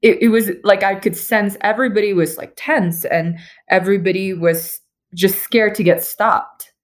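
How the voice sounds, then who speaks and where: A woman's voice: medium pitch, normal speed. A young woman, an architect and interview guest, telling her story casually with many "like"s over a remote call.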